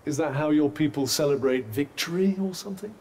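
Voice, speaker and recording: A man's voice: a little high, brisk, darkish tone, a little hiss or hum, natural voice. A man playing an urbane magical talking cuff in a video game, with a formal, drily teasing manner. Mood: dry, teasing. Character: urbane, dry-witted talking magical cuff, male companion.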